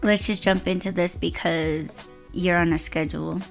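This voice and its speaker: A woman hosting a podcast in casual chat, her voice hoarse and raspy, laid-back and quick to laugh. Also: on the low side, fairly even intonation, faint music behind. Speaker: podcast host.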